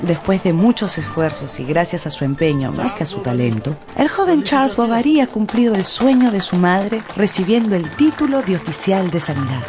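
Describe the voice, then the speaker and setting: Animated woman narrator over music. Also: medium pitch, moderate pace, hardly any pauses. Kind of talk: dramatised storytelling narration for radio.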